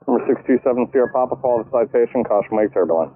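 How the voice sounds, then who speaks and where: Rapid-fire male tower controller over tinny radio. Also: mid-range voice, lively intonation. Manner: procedural, matter-of-fact. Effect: aviation radio. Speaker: air traffic controller in the tower. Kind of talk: clipped radio clearances to pilots. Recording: narrowband tinny aviation radio transmission.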